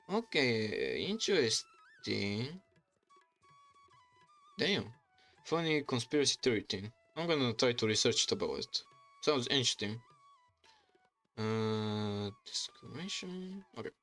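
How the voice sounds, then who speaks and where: A man from Bulgaria with a Bulgarian accent, chatting casually on a livestream in halting, rambling English full of restarts. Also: fairly low pitch, fairly bright tone. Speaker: streamer and YouTuber. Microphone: desk microphone.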